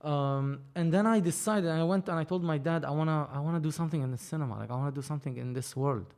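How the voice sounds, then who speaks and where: A man, speaking in a voice that sits a little higher than most men's. He tells his story as a podcast guest in loose, animated chat, often restarting his sentences as he goes. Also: fast talker. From Lebanon. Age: 30s. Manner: animated, rambling, frequent restarts. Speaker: film producer, podcast guest. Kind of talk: casual conversational storytelling.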